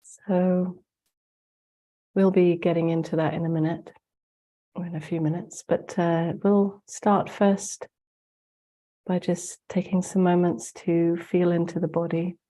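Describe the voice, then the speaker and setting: A soft-spoken woman leads a guided meditation over a video call in a low, mellow voice. Her nose sounds blocked up as she gets over the flu. She speaks very slowly with hardly any rise or fall. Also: flat delivery. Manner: slow, soft-spoken, gently guiding. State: congested, getting over the flu. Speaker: spiritual teacher hosting a webinar.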